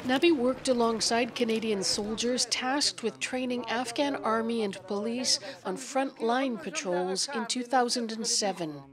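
A woman correspondent narrating a scripted news report in a measured, solemn manner. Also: fairly high pitch, crowd noise. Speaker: foreign correspondent.

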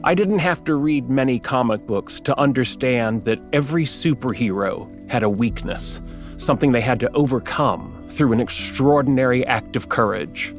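Brief: a man voicing a dry, precise adult sitcom narrator, mid-pitched, with music under it. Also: normal speed, natural voice. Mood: wry. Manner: dry, wry, precise delivery. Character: adult male narrator recalling his childhood, dryly. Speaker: adult narrator looking back. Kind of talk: scripted sitcom voice-over narration. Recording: voice-over with clear music behind.

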